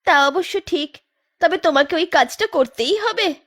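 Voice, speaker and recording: Worried, high-voiced village wife. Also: female voice, animated, a little slow, a bit bright, full character voice. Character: worried, gentle pregnant young village wife.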